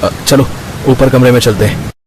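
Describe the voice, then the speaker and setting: A man's voice: mid-range voice, fairly even intonation, normal speed, background noise, lightly acted. A man playing a young husband in a family story, earnest and plain-spoken.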